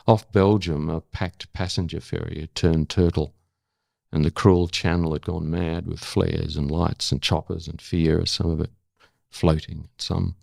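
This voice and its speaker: An older Australian man with a gravelly voice, speaking as an interview guest and former correspondent. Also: extremely low pitch, a little mellow, dry recording, clean studio sound. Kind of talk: dramatic spoken-word recital of own prose, then reflective conversation.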